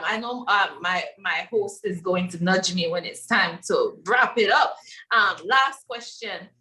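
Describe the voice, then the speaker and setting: A woman's voice: medium pitch, pushed and bright, roomy sound, distant mic, low-quality recording. A woman from Belize moderating a panel discussion in a chatty manner with many fillers.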